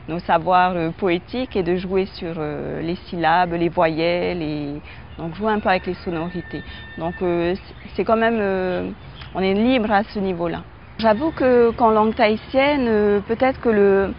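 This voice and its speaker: A woman from French Polynesia, a teacher and writer, speaking reflectively in an interview with frequent pauses, fillers and restarts. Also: mid-range voice, muffled recording, slight background noise. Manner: hesitant, many fillers and restarts.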